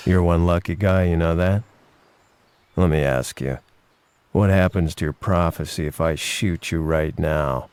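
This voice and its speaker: A man playing a cool, menacing hitman with terse, casual street swagger in an animated game. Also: sits low, unhurried, clear, resonant tone, dry recording. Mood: taunting, threatening. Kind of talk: animated game cutscene dialogue.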